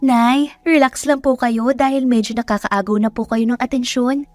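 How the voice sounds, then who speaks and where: A woman plays a scheming young female rival in an audio drama. She is smug and taunting in a fairly high voice, with false sweetness. It sounds dry, with music behind. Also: steady tone, normal speed, dry recording, lightly acted. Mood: falsely sweet. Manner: mock-helpful, taunting. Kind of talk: Tagalog audio drama acting.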